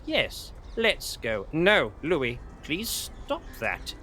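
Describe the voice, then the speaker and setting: A man's voice: on the high side, huge pitch swings, drawn-out words, pushed and bright, a little hiss or hum, heavily acted. An actor playing a harried, kindly male schoolteacher herding children in a children's audio drama. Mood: flustered, scolding.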